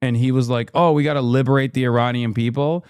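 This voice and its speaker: A man's voice: mid-range voice, fairly even intonation, a little mellow, smooth, no echo, studio quality. A man, a streamer giving combative, sarcastic political commentary while reacting to a clip. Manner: combative, sarcastic, rapid rebuttals.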